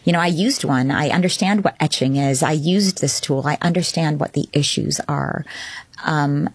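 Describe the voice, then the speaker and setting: A woman analyst answers interview questions in a thoughtful, halting way. Her voice is low, and the recording is dry and close. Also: breathy edge, dry recording.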